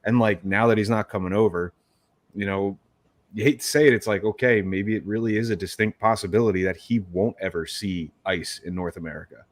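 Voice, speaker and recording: A man with a very low voice co-hosting a casual sports podcast. He rambles and piles on emphasis, sounding exasperated, and the recording is dry and close. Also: steady tone, fairly quick, dry recording. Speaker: podcast co-host.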